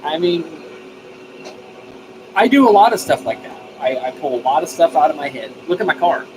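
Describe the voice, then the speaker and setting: A man's voice: on the high side, animated, moderate pace. Enthusiastic man live streaming over background noise. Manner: folksy, informal, mildly profane; enthusiastic, effusive. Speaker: live stream host of a DIY radio channel. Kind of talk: casual live stream chat.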